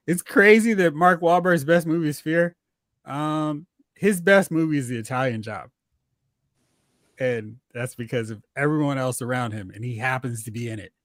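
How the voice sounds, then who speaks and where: A man hosting a casual, joking movie talk show with an animated delivery. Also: medium pitch, normal speed, no room sound, studio quality. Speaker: podcast host.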